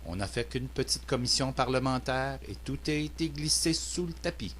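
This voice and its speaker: A man's voice: medium pitch, lively intonation, normal speed, a bit bright. Male citizen commentator, Québécois accent, mid-range voice, earnest and declamatory, amateur recording with a faint hum. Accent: Québécois French. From Quebec, Canada. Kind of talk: prepared political opinion monologue.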